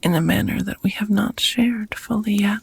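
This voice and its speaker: A woman playing a seductive, doting vampire roommate in an intimate ASMR romance roleplay, whispering softly with teasing, formal endearments. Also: medium pitch, slight background noise, lightly acted. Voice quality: soft, breathy whispering. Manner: slow, sultry, formal wording with pet names.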